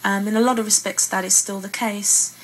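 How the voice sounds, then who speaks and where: A woman's voice: medium pitch, steady tone, a little fast. British woman in a noisy interview recording. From Britain. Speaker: playwright and poet being interviewed. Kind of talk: earnest interview talk with read-aloud passages. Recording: clearly noisy room ambience.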